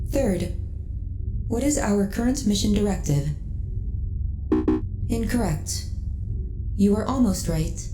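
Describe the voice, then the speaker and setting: A woman voicing a friendly, caring ship AI in a sci-fi ASMR roleplay, speaking in a measured, formal, precisely enunciated way. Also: mid-range voice, reverberant.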